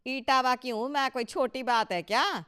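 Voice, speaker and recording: A woman's voice: high voice, moderate pace, bright, forceful tone, clean tone. A woman playing a proud, touchy older mother-in-law in a Hindi cartoon story, emphatic and boastful with a scolding edge. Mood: boastful, indignant.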